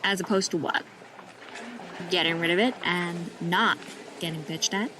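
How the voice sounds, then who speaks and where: An actress playing a dry, skeptical hospital worker in an audio drama. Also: female voice, lowish voice, steady tone, unhurried, noisy background, natural voice.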